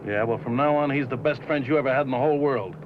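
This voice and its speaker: Actor as a bossy 1950s hustler, muffled noisy track. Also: male voice, mid-range voice, quick pace, close to an everyday voice. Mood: bossy, scheming. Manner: blunt, slangy, bossy. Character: scheming, bitter, bossy male showbiz manager, wisecracking hustler. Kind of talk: 1950s film drama acting.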